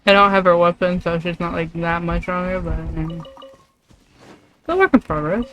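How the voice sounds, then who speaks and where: A woman's voice: fairly low pitch, normal speed, fairly bright tone, low-quality recording. A woman livestreaming gameplay, chatting casually and thinking aloud in loose, rambling asides. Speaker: streamer.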